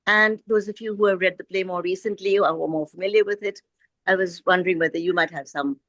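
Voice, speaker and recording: A woman's voice: on the low side, fairly even intonation, fast, a bit bright, no echo. A woman offering reflective remarks in a discussion, speaking politely and tentatively, as if thinking a question through. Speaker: book club participant. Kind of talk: thoughtful discussion commentary.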